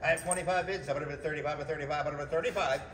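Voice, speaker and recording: A man's voice: a little high, steady tone, racing, a bit bright, slight background noise. A man working as an auctioneer, calling live bids in a rhythmic, repetitive chant of numbers. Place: indoor metal-walled storage facility corridor. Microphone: camera several metres away. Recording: echoey storage hallway, distant microphone.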